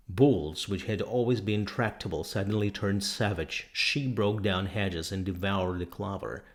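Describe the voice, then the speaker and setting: A man reading a novel aloud as scripted narration, in a measured way with the occasional stumble over words. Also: deep voice. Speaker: audiobook reader.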